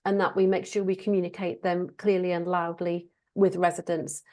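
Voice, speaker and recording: A woman with a croaky voice, a council officer politely explaining procedures at a committee meeting. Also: lowish voice, level tone, moderate pace, a little mellow.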